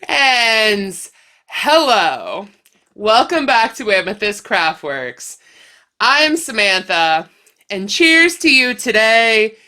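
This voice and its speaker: A woman's voice: fairly high pitch, very animated, takes it slowly, sharp tone. A woman hosting a crochet vlog in a casual, playful, chatty way, sounding slightly stuffed up with a cold. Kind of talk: casual chatty talk to camera.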